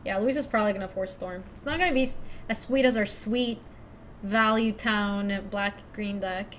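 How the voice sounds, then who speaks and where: A young woman from Ecuador chatting casually through a card game stream, thinking out loud and laughing often. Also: mid-range voice, moderate pace, a little hiss or hum. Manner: chatty, giggly, thinks aloud to chat. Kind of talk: casual live-stream commentary.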